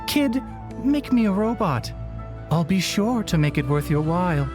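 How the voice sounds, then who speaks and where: Slow, sly merchant woman. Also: fairly low pitch, big pitch swings. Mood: smug, coaxing. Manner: drawling, smug. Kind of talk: video game cutscene acting. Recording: music behind the voice.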